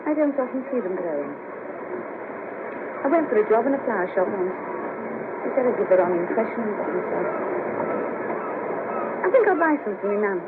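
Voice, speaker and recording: An actress playing a chatty, bawdy, self-deprecating sex worker in a 1970s radio play, speaking in an earthy, colloquial way. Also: female voice, mid-range voice, big pitch swings, moderate pace, pauses often. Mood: wistful, playful. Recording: noisy patchy 1970s radio cassette, narrow band.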